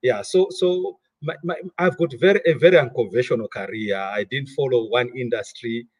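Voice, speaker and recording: Husky-voiced Malawian man, halting speech. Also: medium pitch, dry recording. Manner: repeats words often while thinking. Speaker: guest. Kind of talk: interview conversation.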